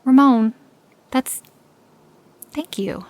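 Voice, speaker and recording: Actress as a teasing, high-voiced independent woman. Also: very slow, a little mellow, dry recording. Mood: warm. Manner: dry, teasing, frank. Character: independent, teasing businesswoman with a casual lover. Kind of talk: audio drama dialogue.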